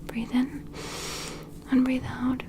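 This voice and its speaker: A woman playing a gentle, attentive alien medical examiner in an ASMR roleplay, whispering slowly and soothingly in a breathy, reassuring way. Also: high-pitched, flat delivery, heavy background noise. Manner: slow, soothing, reassuring, procedural.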